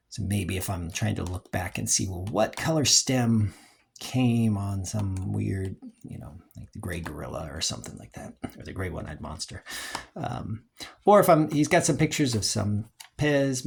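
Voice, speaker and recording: A collector giving a casual, unscripted review in a hesitant, rambling way, often trailing off and clearing the throat, with a husky, creaky voice. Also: medium pitch. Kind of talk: casual unscripted show-and-tell.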